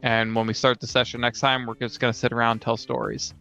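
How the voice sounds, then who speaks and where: Gravelly, husky man over guitar music. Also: medium pitch, level tone, quick pace, sharp tone. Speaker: podcast co-host and player. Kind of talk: casual podcast chat about tabletop gaming. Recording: slightly dull audio, guitar music behind.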